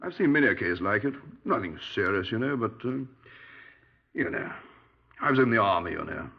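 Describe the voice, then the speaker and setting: An actor playing a genial, breezy middle-aged British retired major in a 1950s radio drama, clipped and dismissive. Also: male voice, lowish voice, big pitch swings, a little fast, gravelly, breathy edge, full character voice. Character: genial, dapper retired army major, breezy small-town murder suspect. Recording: muffled 1950s radio recording.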